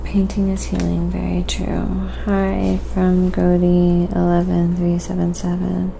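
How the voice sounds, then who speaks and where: A woman hosting a casual painting livestream, chatting with viewers and thinking aloud in a relaxed, halting way. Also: lowish voice, gravelly, noisy background. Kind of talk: casual livestream chat while painting, reading viewer comments.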